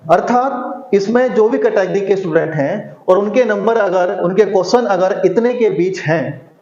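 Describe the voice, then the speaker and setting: A man with a notably high voice explains things to students. He repeats his points and punctuates them with small rhetorical questions. The sound is dull and bounces around an echoey room. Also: moderate pace, darkish tone. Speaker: exam coaching teacher. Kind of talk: informal explainer addressed to students. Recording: muffled audio in echoey room.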